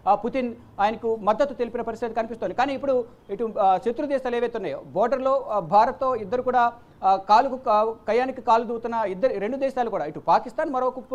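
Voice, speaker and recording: A woman presenting unscripted news commentary, repeating phrases and pausing on fillers as she goes. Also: fairly high pitch, a little mellow.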